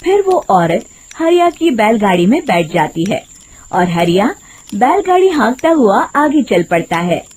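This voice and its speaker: A woman's voice: a little high, very animated, unhurried, a little mellow, a little hiss or hum. A woman narrating a scripted moral tale in a dramatic storytelling style.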